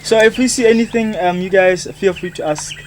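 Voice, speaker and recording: A man guiding visitors outdoors, brisk and accommodating. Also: high voice, fairly even intonation, slight background noise. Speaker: guide.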